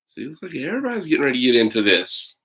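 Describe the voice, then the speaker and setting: A man's voice: medium pitch, very animated, very fast, a bit bright, breathy edge. A man reading a children's picture book aloud, breaking off for casual, folksy asides to his listeners. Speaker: read-aloud storyteller.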